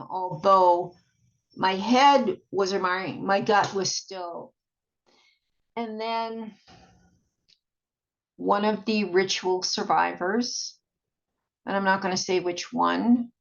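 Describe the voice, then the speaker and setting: A woman talks in a fairly low voice with a lot of gravelly fry. She rambles casually as a video blogger would, halting, repeating herself and trailing off. The recording is dull and lo-fi. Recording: dull, muffled lo-fi sound.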